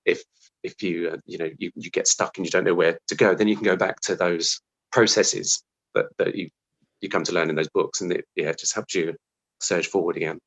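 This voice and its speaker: A man's voice: low voice, fairly even intonation, fairly quick, a bit bright, poor audio. A man talking casually as an interview guest about his craft, thinking aloud and restarting his phrases as he goes.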